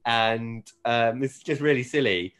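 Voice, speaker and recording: A man's voice: mid-range voice, a little slow, fairly bright tone, clean tone. A man co-hosting a casual episode recap, telling it in a hesitant, amused, rambling way. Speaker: podcast co-host recapping a TV show.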